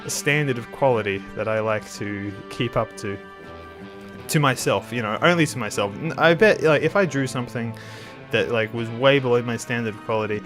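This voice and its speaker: A man's voice: mid-range voice, a bit bright. A man hosting his art channel in casual talk over a speed drawing, a bit nasal and under the weather, rambling with many restarts. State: slightly sick.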